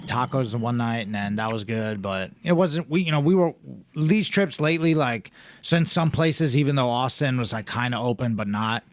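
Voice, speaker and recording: A man co-hosting a casual podcast, rambling and swearing, his pitch jumping up when he gets excited. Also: moderate pace, dry recording. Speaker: podcast co-host, gym owner. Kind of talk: casual profane chat.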